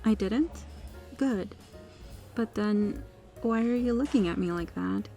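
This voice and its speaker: A woman plays a kind, caring restaurant customer in an ASMR roleplay. Her voice is mellow and mid-pitched, with a soothing, reassuring manner over faint music. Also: slow pace, vocal fry. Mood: sympathetic. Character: kind female restaurant regular, gentle, caring stranger.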